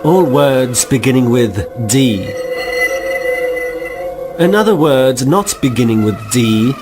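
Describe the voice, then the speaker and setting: A man narrating an audio drama in a playful, mock-grand way, at a mid pitch. Music plays clearly beneath his voice. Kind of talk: scripted narration.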